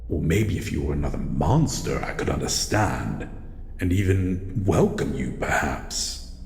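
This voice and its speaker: A man voicing a grumpy, wary shadow monster in an audio roleplay, speaking in a halting, brooding way as it guards its old house. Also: a bass voice, lively intonation, a little mellow, exaggerated voice. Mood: resentful, grudging. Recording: echoey hall reverb, faint background noise.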